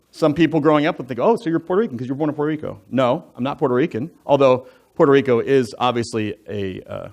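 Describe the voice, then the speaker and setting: A man in his forties, an elder from the United States, telling his life story to a church congregation in a relaxed, conversational way, full of asides and jokey fun facts. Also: fairly high pitch. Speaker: church elder giving a testimony. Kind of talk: personal testimony talk from the pulpit. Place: church sanctuary.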